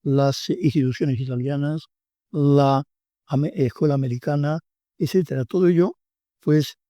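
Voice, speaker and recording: An older man with a Tangier Spanish accent, his voice very dark and mellow. He speaks in a reflective, hesitant way full of pauses and fillers. The recording is close and dry, like a radio studio. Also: a little high, steady tone, dry recording. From Tangier, Morocco. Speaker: author and guest. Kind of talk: radio interview.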